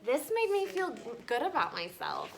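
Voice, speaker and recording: A woman teacher reading a story to children and discussing it with them in an animated, conversational way, often checking in with questions. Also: high-pitched, normal speed, crowd noise. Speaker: elementary school teacher. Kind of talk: children's story read-aloud with class discussion. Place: classroom with children.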